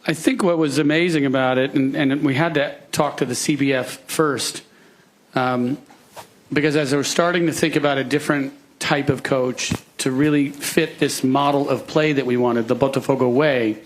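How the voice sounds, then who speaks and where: A man, speaking at a press conference and pausing often with fillers. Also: mid-range voice, fairly even intonation, rough recording. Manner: halting, with many fillers. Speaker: club owner at a press conference.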